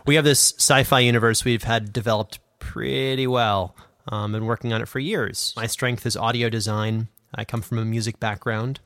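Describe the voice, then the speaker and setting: A man's voice: mid-range voice, fairly even intonation, moderate pace, fairly bright tone, no echo. A man co-hosting a podcast as a producer, explaining things in a casual, loosely phrased conversational way. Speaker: podcast co-host, producer and sound editor.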